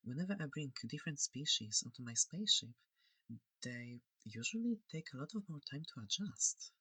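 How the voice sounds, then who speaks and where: A performer voicing a friendly, curious alien examiner in a soft, hesitant, breathy ASMR roleplay. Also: mid-range voice, sing-song, moderate pace, no echo, exaggerated voice, low-quality recording. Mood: curious, pleased. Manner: soft-spoken, hesitant, gently inquisitive.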